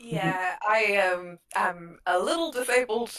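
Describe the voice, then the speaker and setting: Tired woman on a podcast, medium pitch, gushy casual chat. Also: slow pace, a bit bright. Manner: effusive, informal. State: tired, flagging. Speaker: podcast guest.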